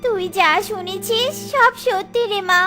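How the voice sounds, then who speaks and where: A woman voicing a gentle, reassuring mother bird in a children's cartoon, speaking tenderly. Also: very high-pitched, a little slow. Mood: tender, sad. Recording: music under the voice.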